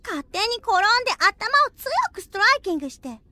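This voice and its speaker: A shrill, bouncy anime girl agent, tossing in English words with cartoonish gusto. Her very high voice swoops wildly and rings bright and piercing, with faint music underneath. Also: animated, fairly quick, no room sound, full character voice. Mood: excited. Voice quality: squeaky, exaggerated foreign-style speech. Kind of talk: anime drama CD acting.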